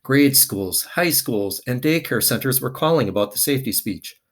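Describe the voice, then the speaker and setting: A man's voice: medium pitch, expressive, normal speed. A man reading a children's picture book aloud expressively, with dramatic emphasis.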